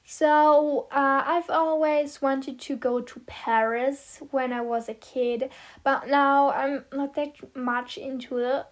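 Teen girl, german first language, high voice, slow rambly chat with lots of ums and giggles. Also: steady tone. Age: teens. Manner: giggly, rambling, many fillers. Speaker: vlogger answering questions about herself. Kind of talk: casual chat.

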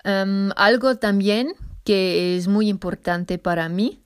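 A woman's voice: mid-range voice, takes it slowly, smooth. A woman hosting a solo podcast in careful learner's Spanish, with a slight Argentine accent blended with others and small self-corrections. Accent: slight Argentine, mixed. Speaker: podcast host.

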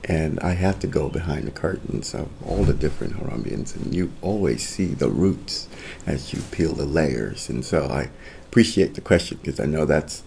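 Man raised in Italy, very deep voice, gravelly, dark tone, reflective storytelling, faint ambience. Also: animated, moderate pace, a little hiss or hum. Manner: thoughtful, rambling, drifts into soft near-whispers. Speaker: podcast guest, founder.

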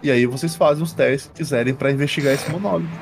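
A man's voice: on the high side, fast talker, vocal fry, slight background noise. Brazilian man narrating a tabletop game. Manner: informal, uses slang and swearing. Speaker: game master. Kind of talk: narrating a tabletop roleplaying game, casual and improvised.

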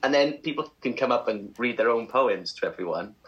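Playful male co-host with a bright, lively voice. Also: medium pitch, brisk, highs cut off. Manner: playful, laughs often, animated. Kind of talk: casual radio chat.

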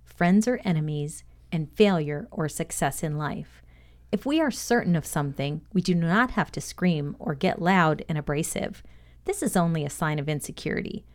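A woman's voice: low voice, expressive, moderate pace. A woman co-hosting a podcast and reading a scripted lesson on leadership. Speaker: podcast co-host and reader.

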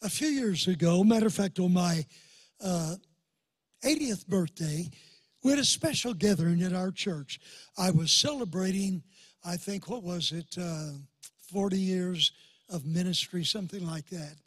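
Hoarse eighty-something preacher, high and husky. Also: male voice, lively intonation, a little slow, dry recording. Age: 80s. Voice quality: old hoarse voice. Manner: folksy, calls for amens. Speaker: guest pastor. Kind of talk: sermon with personal stories. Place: church sanctuary before a congregation.

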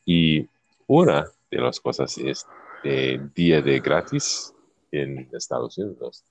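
A man hosting a casual chat in Spanish as a learner whose first language is English, halting and searching for words. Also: very deep voice, very animated. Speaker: podcast host.

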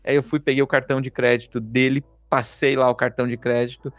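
A man telling a story in an emphatic, lively way, with swearing and rhetorical questions. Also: medium pitch, fast, no room sound. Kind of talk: storytelling monologue.

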